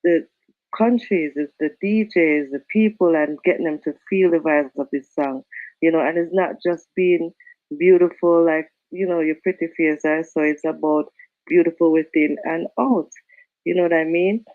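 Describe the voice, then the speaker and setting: A woman's voice: sits low, moderate pace, no echo. A woman reggae singer, chatting as a guest and filling her talk with "you know". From Canada and Jamaica. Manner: warm, chatty, many "you know" fillers, Jamaican phrasing. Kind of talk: casual interview chat. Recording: dull lo-fi call audio.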